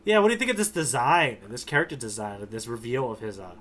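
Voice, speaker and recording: Bright-voiced man, animated podcast chat. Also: medium pitch, fast. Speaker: podcast co-host, tour guide. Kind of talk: casual recap chat about a manga.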